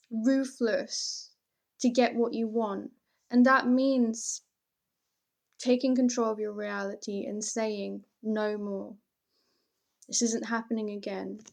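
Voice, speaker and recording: A woman's voice: a little high, darkish tone. A woman reading tarot for the listener in an informal, conversational way, earnest, emphatic and reassuring. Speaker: tarot reader. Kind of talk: intimate, encouraging tarot reading addressed to the listener.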